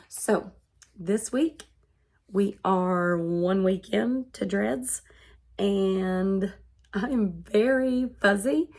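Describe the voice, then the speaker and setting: A woman in her thirties, congested and hoarse from being sick, talking earnestly to her vlog audience. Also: mid-range voice, a little mellow. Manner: slow, earnest and measured. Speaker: vlogger. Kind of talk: personal vlog monologue.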